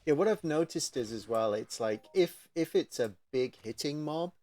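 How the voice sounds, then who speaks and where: A man's voice: on the high side, lively intonation, moderate pace, warm tone. A man hosting a gaming channel, chatting casually with a guest in an informal interview.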